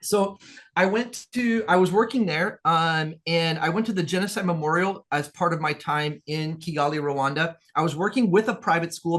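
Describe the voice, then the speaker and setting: A man's voice: a little high, fairly bright tone. A man from Peru, a guest in an interview, telling his story earnestly in a rambling way, with many restarts. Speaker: guest, business owner.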